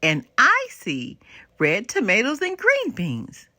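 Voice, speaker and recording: A chirpy, curious little storybook girl, played by a woman. She sounds bubbly and eager, with a raised, bright pitch and lively swoops. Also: slow, no room sound, heavily acted. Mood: observant. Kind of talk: children's storybook read-aloud.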